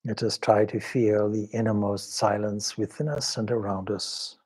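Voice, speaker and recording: A man leading a guided meditation as a swami, speaking in a measured, solemn, devotional way with pauses between short phrases. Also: on the low side, level tone, a little mellow, husky.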